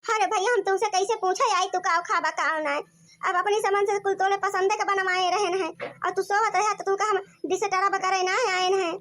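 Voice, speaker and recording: A woman's voice: very high-pitched, fairly even intonation, normal speed, a bit bright. A woman voicing a bossy, anxious village mother-in-law with an Awadhi accent in a cartoon comedy, instructing in a rustic, scolding way. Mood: placating.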